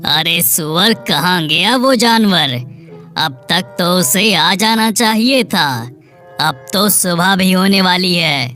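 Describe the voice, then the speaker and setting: A woman's voice: on the low side, lively intonation, takes it slowly, pushed and bright, soft background music, full character voice. A woman voicing a dangerous, commanding male leopard king in a cartoon story, imperious and menacing. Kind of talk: Hindi cartoon moral story acting.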